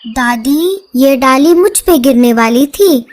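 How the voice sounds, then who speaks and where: A woman's voice: high-pitched, lively intonation, slow pace, a little mellow, slight background noise. A woman voicing a small, innocent male baby bird, earnest and pleading, in a children's cartoon.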